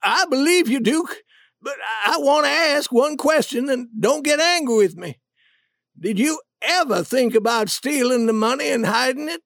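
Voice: high voice, expressive, moderate pace, vocal fry, a bit bright, dry recording, studio quality, voice pushed up, full character voice. A narrator voicing a blustering, bullying older con-man who poses as a king in a storybook tale, indignant and accusing. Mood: cautious.

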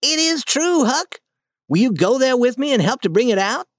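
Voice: sits high, expressive, moderate pace, no echo, clean studio sound, pitched above the performer's own voice. A storybook reader voicing a bold, eager young boy adventurer in a raised voice, confident and persuasive.

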